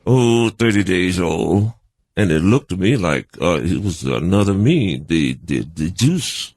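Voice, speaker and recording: An older American man answering as an interview guest, halting and wordy, with folksy turns of phrase. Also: sits low, animated, darkish tone, no room sound. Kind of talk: conversational, reminiscing interview answers.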